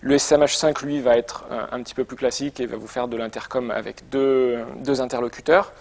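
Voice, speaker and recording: A man's voice: mid-range voice, steady tone, brisk, vocal fry, a little mellow. A man presenting products in an informal, semi-improvised demonstration, explaining in a conversational and somewhat hesitant way. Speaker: product presenter for a motorcycle gear shop.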